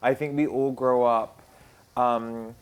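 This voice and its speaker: Chatty young man, flat delivery. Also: mid-range voice, takes it slowly, smooth, rough recording. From South London, England. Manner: chatty, full of "like" and "um". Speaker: musician guest. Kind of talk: casual conversation.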